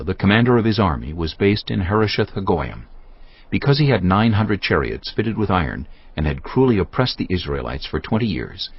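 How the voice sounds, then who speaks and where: A man narrating scripture as a dramatised story, solemn and measured in his delivery. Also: sits low, husky. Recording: dull audio with music behind. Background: music.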